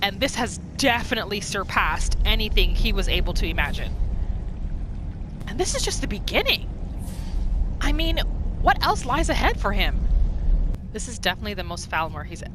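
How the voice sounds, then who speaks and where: A woman giving casual, animated commentary as she plays a video game, often talking to the characters. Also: medium pitch, normal speed, noisy background. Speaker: gaming video host. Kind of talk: casual game playthrough commentary with journal read-alouds. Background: game music.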